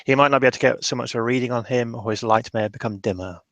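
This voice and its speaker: A man's voice: mid-range voice, racing, a bit bright, dry recording, muffled recording. A man improvising narration and explanation in a roleplaying game, thoughtful and hesitant.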